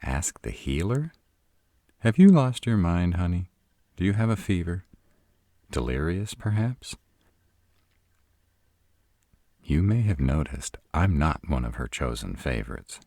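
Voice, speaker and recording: Man playing a very deep, sarcastic vampire. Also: sing-song, a little slow, warm tone, dry recording, exaggerated voice. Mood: exasperated sarcasm. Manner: sardonic, dry, rhetorical questioning. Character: sarcastic, proud city-bred male vampire. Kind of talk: scripted audio roleplay, addressed to listener.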